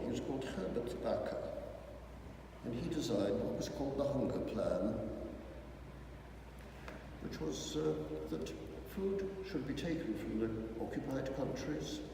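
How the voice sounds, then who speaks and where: A man's voice: medium pitch, animated, normal speed, creaky. Elderly male survivor, earnest testimony, distant in a large echoing hall with background noise. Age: older. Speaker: Holocaust survivor speaker. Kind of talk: personal testimony talk. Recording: echoey hall, mic far off, noisy.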